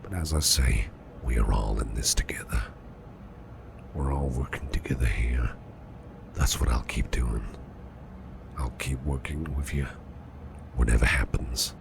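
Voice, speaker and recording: A man playing a caring, protective businessman boss in an ASMR roleplay, speaking in a soothing, reassuring, breathy half-whisper. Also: rumbling low, unhurried, long pauses, background noise, full character voice. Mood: tender, reassuring. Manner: soothing, reassuring, affectionate, gently murmured. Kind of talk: soft ASMR roleplay, personal attention.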